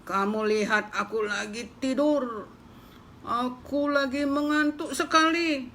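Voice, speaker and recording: A woman's voice: sits high, steady tone, slow pace, a bit bright, slight background noise, pitched above the performer's own voice, exaggerated voice. A woman doing a sleepy grumbling pig. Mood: grumpy sleepy. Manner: grumbling, dismissive.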